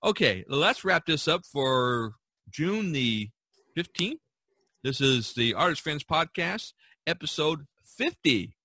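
A man's voice: on the high side, very animated, takes it slowly, sharp tone, breathy edge, dry recording. A man hosting a casual podcast about art, talking in a rambling, chuckling way. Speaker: podcast host and painter.

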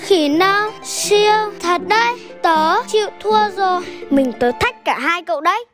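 Very high, childlike cartoon animal. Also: a little slow. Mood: playful. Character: kind, eager young animal friend in a children's cartoon. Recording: music bed, rain sounds behind. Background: music and rain.

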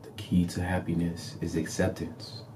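Deep voiced guy doing guided meditation, calm n soothing, pretty monotone, short repeated lines. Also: a little hiss or hum, rough recording. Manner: calm, deliberate, soothing instruction.

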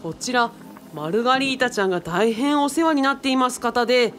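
A woman playing a composed, courteous, handsome assistant in a radio drama, speaking in polite, measured phrases. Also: fairly high pitch, soft background music, natural voice.

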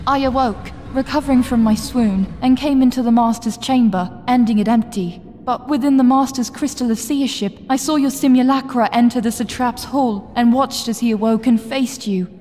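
Woman as young fantasy slave girl, higher female voice, earnest, shaken yet brave, formal archaic speech, long reverb. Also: normal speed, few pauses, mellow, slight background noise, lightly acted. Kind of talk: fantasy audiodrama acting. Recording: long reverberant hall echo.

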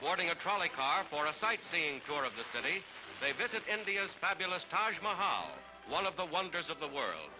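A man's voice: fairly high pitch, sing-song, moderate pace, low-quality recording. A man with an American accent reads scripted 1940s newsreel narration in a declamatory, dramatic announcer's manner on a vintage soundtrack. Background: loud music.

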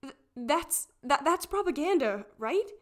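High-pitched curious teenage friend played by a woman. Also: expressive, measured pace. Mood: uneasy.

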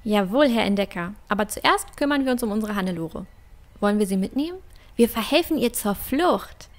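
A woman's voice: medium pitch, lively intonation, a little fast, lightly acted. A woman playing a cheeky, adventurous, optimistic girl in a fantasy audio drama. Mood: cheeky, teasing.

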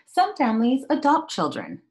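A woman's voice: on the high side, wildly swooping pitch, normal speed, a little mellow. A woman in her thirties speaking as a teacher to young children, reading a picture book aloud and talking about it in simple phrases. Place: small indoor room at home.

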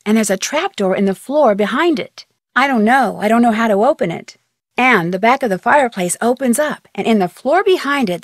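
Casting: inquisitive young female amateur detective, game phone dialogue, higher-pitched voice, conversational and plucky, dry studio sound. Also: no echo. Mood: concerned, puzzled.

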